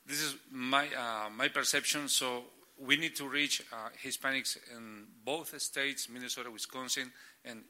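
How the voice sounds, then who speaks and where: A middle-aged man with a Spanish accent, a native Spanish speaker, speaking as a guest at a church service. He talks haltingly, hunting for words with many fillers. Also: mid-range voice, steady tone, sharp tone. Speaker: church planting catalyst.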